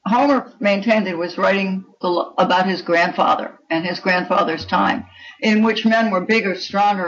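A woman's voice: on the low side, a bit bright. A woman's voice comes through slightly dull, lo-fi audio. She speaks as an author being interviewed, explaining at length in a learned, discursive way. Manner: erudite, explanatory. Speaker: author, podcast guest.

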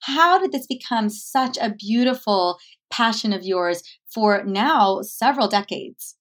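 A woman's voice: medium pitch, expressive, measured pace. A woman hosting an interview who talks with gushing, affectionate enthusiasm. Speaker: podcast host. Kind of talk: interview, casual chat.

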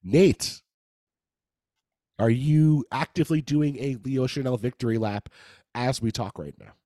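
A man hosting a sports podcast, his voice raspy and a little hoarse, with a playful, laughing manner. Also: medium pitch, unhurried, dark tone, dry recording, clean studio sound. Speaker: podcast host. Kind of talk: casual sports podcast chat.

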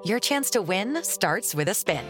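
A woman's voice: mid-range voice, very animated, a little slow. Woman, ad announcer, salesy, upbeat, music behind. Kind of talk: scripted commercial read.

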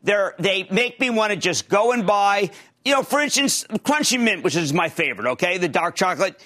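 Man, Philadelphia accent, older, TV host, high-pitched, bright, excitable. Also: normal speed. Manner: excitable, emphatic, swings between high and low. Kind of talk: TV interview.

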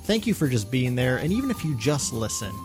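A man co-hosting a fan podcast, speaking in an earnest, thankful, steady way. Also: mid-range voice, fairly quick, music in the background. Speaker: podcast co-host. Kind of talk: casual podcast chat.

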